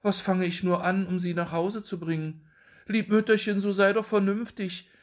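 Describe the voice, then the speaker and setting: A man's voice: high-pitched, fairly even intonation, normal speed, pitched above the performer's own voice, lightly acted. A man voicing a frightened young man turned into a dwarf, pleading anxiously in a storybook reading.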